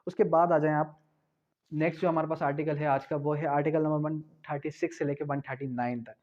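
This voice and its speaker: A man's voice: on the high side, fairly even intonation, a little fast. Man tutoring law with rhetorical questions. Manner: explains with rhetorical questions and repetition. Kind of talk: exam-prep law lecture. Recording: dull lo-fi recording.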